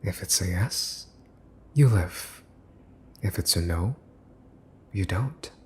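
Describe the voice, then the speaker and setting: A man playing a smug mafia boss's son in an ASMR roleplay, teasing and condescending as he makes cool, possessive threats with pauses for effect. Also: low-pitched, animated, slight background noise. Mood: teasing menace. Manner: teasing, smooth-talking, condescending, pausing for effect. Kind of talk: ASMR boyfriend roleplay, scripted monologue to listener.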